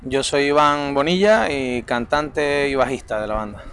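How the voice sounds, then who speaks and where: Canarian singer answering loosely. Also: male voice, mid-range voice, moderate pace, a bit bright, a little hiss or hum. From Canary Islands. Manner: loose, searching for words. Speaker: singer and bassist. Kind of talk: casual interview answers.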